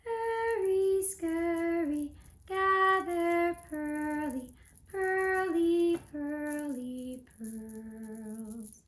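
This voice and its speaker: A woman voices a troop of busy little storybook ants in a very high voice. They chant in slow, singsong rhyme, urging one another on. The room echoes around the words. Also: soft background music, exaggerated voice.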